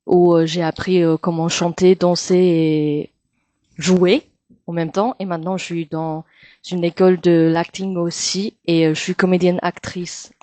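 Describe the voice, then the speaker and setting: A young woman from Taiwan, Mandarin her first language, speaking French with a Taiwanese accent as an interview guest, pausing often and filling gaps with hesitations. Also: lowish voice, mellow, no room sound. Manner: hesitant, many pauses and fillers. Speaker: guest, actress and singer. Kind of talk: casual interview chat.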